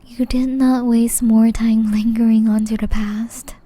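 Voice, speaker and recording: Woman playing a gentle witch in breathy whispers. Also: on the high side, little pitch movement, no echo. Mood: tender, soothing. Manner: slow, tender, intimate delivery. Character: gentle young witch, tender, caring, shyly in love. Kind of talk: whispered ASMR romance roleplay.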